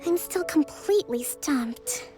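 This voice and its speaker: A young female strategist from a video game. Her voice is high and gentle, with measured, articulate and formal phrasing. She sounds composed and thoughtful, with faint music behind her. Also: expressive. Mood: weary, doubtful. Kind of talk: video game character acting.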